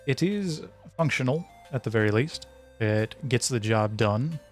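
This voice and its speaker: A man's voice: medium pitch, expressive, unhurried. A man chatting casually on a live stream as he works, thinking aloud in a hesitant, self-directed way. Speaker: streamer, indie game developer. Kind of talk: casual live stream chat while working. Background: background music.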